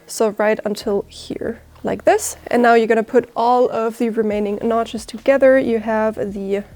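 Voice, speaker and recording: A young woman whose first language is German walks viewers through a sewing project. Her voice sits a little high, and she explains each step in a chatty, upbeat way. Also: steady tone, normal speed, a little mellow. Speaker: sewing teacher. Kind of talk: sewing tutorial with announcement.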